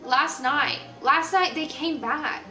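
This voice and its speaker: Woman doing a scared mom begging the cops for help, panicky, kinda high, echoey room, music playing. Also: slow pace, sharp tone, exaggerated voice. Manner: panicked, pleading. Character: frightened mother calling the police. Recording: echoey room, distant mic, music behind.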